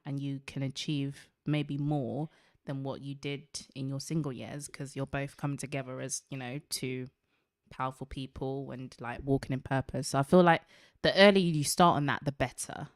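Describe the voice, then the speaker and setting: Female panelist, very low voice; earnest, encouraging, dry close sound. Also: steady tone, moderate pace, no room sound. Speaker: podcast panelist. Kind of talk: casual faith discussion chat.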